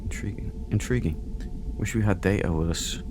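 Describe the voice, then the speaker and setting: A man's voice: sits low, normal speed. A man giving casual, playful let's play commentary with chatty, joking asides. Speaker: gaming host. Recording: clear background noise under voice. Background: game ambience.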